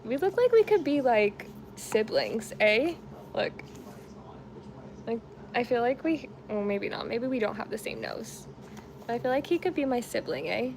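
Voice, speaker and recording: A woman around thirty rambles through a relaxed home crochet stream. She speaks slowly in a slightly high, gravelly voice, chatting and laughing easily, and a clear layer of room noise sits behind her. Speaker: crochet livestream host. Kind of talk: casual chat while crocheting, reading comments.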